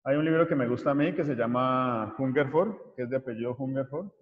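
A man's voice: medium pitch, a little fast. Man teaching in an echoey room. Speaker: university mathematics teacher. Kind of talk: informal online lecture. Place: small indoor room, video call. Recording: muffled, echoey room over video call.

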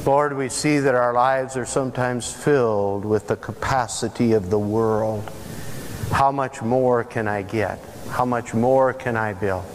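An older male pastor preaches slowly and earnestly, leaning on long pauses. His mid-range voice carries the long ringing tail of a big sanctuary. A faint hiss sits under the recording. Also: medium pitch, fairly even intonation, long reverb tail. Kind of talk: sermon. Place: large church sanctuary, preaching from the chancel.

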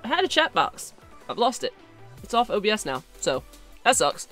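A young woman streaming a game, chatting casually and excitably with her viewers through a headset, her voice slightly hoarse. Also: medium pitch, moderate pace, soft background music. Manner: chatty, excitable, talks to chat. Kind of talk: casual live-stream chat.

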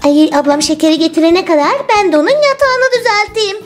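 A woman's voice: very high-pitched, very animated, brisk, faint music behind, voice pushed down. A woman voicing an eager, whiny little girl who pleads and complains in a children's toy story. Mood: busy, impatient.

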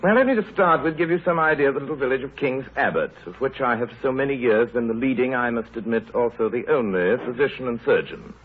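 Man acting quick-talking, droll village doctor on old radio. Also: medium pitch, close to an everyday voice. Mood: wry, measured. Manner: dry, droll, literate. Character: wry, genial male village doctor narrating his tale. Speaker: actor. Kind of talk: 1930s radio drama narration. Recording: muffled, scratchy 1930s radio broadcast.

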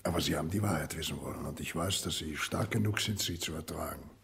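An actor playing a paternal older family doctor in a radio play, husky, grave and consoling. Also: male voice, extremely low pitch, quick pace, a bit bright, heavily acted.

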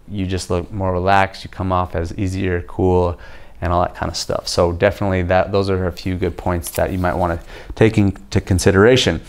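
Young man, very low deep voice, casual to-camera vlog, relaxed explaining, home kitchen. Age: young adult. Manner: relaxed, explanatory, often checks in with "okay?". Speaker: video host reviewing a book. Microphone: camera facing him at chest-up framing.

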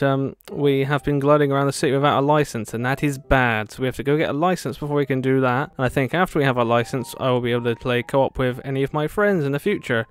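A man's voice: mid-range voice, smooth. A man giving casual, rambling let's play commentary, thinking aloud. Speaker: gaming video host.

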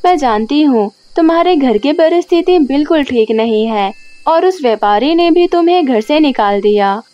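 A woman voicing a kind magical talking sandal in an animated story, comforting and reassuring. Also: high-pitched, moderate pace, dull audio. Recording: music under the voice.

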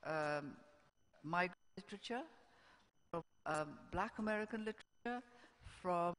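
Older female panel moderator, reading from notes, halting and measured, stage mic in a reverberant auditorium. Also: on the low side, animated, a bit bright. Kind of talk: scripted introductions read to a festival audience. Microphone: stand microphone on stage. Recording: echoey hall, stage microphone.

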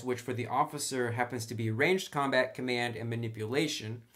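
Man casually walking through a tutorial. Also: mid-range voice. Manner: thinks aloud while writing on a sheet. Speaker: host of a tabletop game tutorial. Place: indoors at a table.

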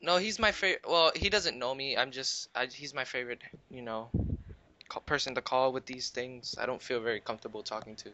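A man on a casual prank call, with a bright, sharp voice. He pesters in a mock-friendly way and keeps repeating himself. The recording is a dull, lo-fi call. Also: medium pitch, brisk. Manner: needling, mock-friendly, repetitive. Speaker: prank caller. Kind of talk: casual prank phone call, goading banter. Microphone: recorded phone or internet call.

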